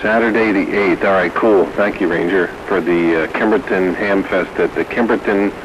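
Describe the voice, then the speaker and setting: A man with an American accent and a lowish voice speaks informally over two-way radio. There is clear hiss and noise throughout the transmission. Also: normal speed, highs cut off. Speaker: radio operator announcing local ham fest. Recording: noisy, hissy two-way radio.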